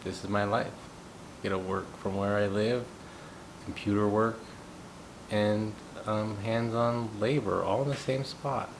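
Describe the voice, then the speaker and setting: Brief: thirties male, from the US, musing monologue, flat delivery, rumbling noise in the background. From United States. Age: 30s. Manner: thoughtful, unhurried, musing aloud. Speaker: gong practitioner sharing thoughts. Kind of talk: reflective personal monologue.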